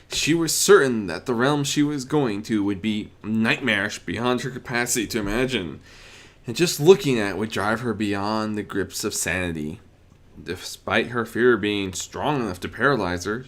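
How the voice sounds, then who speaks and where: A narrator reading a fan fiction story aloud in an expressive storytelling style. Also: mid-range voice. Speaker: audiobook narrator.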